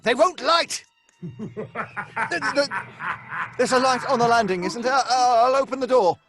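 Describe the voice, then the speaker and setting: Nervous, high-voiced séance guest. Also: male voice, measured pace, a bit bright, soft background music, full character voice. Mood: alarmed. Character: nervous gentleman séance guest, easily alarmed. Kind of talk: old-fashioned radio horror drama.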